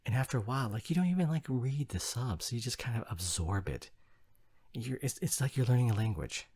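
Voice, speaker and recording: A man playing an exasperated, flustered, snobbish young anime fan boyfriend in an ASMR roleplay, arguing in halting bursts with repeated words. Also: medium pitch, lively intonation, a little mellow, breathy edge. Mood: indignant, passionate. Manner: halting, repeats words, sighing emphatic rant. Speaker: audio roleplay performer.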